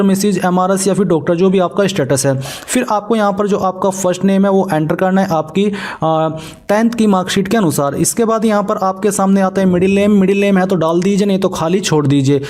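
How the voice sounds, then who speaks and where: A man's voice: high voice, a little mellow. A man narrates a step-by-step screen tutorial in an instructional way, mixing in English terms. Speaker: online tutorial presenter.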